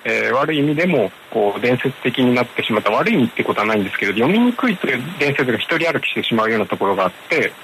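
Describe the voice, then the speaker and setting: A man's voice: medium pitch, sing-song, very fast, hardly any pauses, a bit bright, rough recording. A man from Japan answering interview questions as a publishing professional, hesitant and careful in his phrasing, over a hissy remote link.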